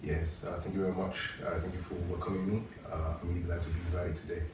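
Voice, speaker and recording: A man from France with a French accent, a guest telling his story in an interview, plain and measured with hesitations. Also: deep voice, level tone, a little mellow. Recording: roomy, distant mic, faint noise.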